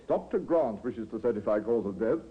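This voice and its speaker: Unyielding, formal physician; husky, very varied intonation, tinny vintage radio sound. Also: male voice, mid-range voice, expressive, fairly quick. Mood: firm, offended. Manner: formal, precise, firm. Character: stiff, principled, unyielding male doctor. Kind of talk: 1950s radio acting. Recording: thin, tinny old radio recording.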